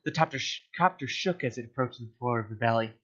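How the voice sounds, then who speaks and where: Male amateur storyteller, mid-pitched, stumbles and corrects himself, lo-fi recording. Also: fast talker, a bit bright. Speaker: reader of a comedic story to friends in a voice channel. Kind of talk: amateur dramatic story narration. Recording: dull lo-fi voice chat audio.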